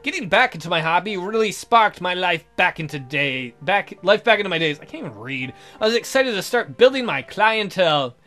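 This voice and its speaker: Male game host, very high voice, theatrical reader of romance narration, music underneath. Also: animated, brisk, hardly any pauses, sharp tone. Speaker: gaming video host. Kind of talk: reading visual novel narration aloud.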